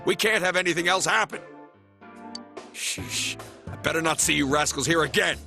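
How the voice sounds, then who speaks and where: An actor playing a gruff, irritable man in authority in a video game, gravelly and brusque as he scolds. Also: fairly high pitch, normal speed, music in the background. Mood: irritated. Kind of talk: English game voice acting.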